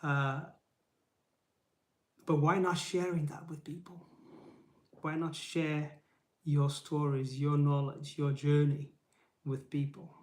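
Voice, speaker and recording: A man's voice: on the high side, flat delivery, takes it slowly, long pauses. Earnest Portuguese-native man in an echoey room. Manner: earnest, encouraging, rhetorical questions, frequent "right?". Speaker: YouTube channel host. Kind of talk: casual live motivational talk to camera. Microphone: camera mic.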